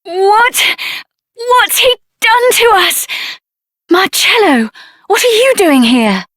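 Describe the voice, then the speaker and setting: An actress voicing a spirited, headstrong young woman adventurer in a video game, expressive and earnest, with a tender side. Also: very high-pitched, slow, no room sound, studio quality, full character voice. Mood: urgent, alarmed.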